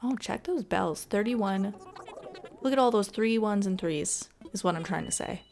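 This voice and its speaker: A woman's voice: mid-range voice, lively intonation, moderate pace, vocal fry, a little mellow. A woman giving casual, chatty gameplay commentary in an informal way. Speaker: gaming video host.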